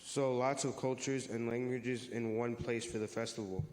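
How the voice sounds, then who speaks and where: A man's voice: medium pitch, steady tone, normal speed, a little mellow, lightly acted. A man playing an earnest, friendly youth who explains a church story to friends in a reader's theater. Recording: echoey hall with long reverb.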